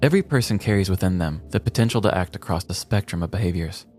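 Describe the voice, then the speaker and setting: Male podcast co-host, fairly low voice, conversational storyteller. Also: expressive, fast talker, darkish tone, soft background music. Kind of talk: casual chat and scripted storytelling.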